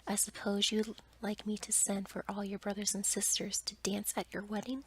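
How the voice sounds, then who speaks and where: A woman voicing a stately, kindly fairy in a breathy whisper for a bedtime storybook tale. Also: mid-range voice, little pitch movement, normal speed, natural voice. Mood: gracious.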